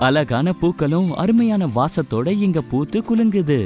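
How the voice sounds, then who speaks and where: A cheerful garden tree, voiced by a man talks with agreeable enthusiasm. The voice is high for a male and fits a kids' cartoon, over prominent music. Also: animated, moderate pace, a little mellow, close to an everyday voice. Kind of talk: children's animated story dialogue. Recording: music behind the voice.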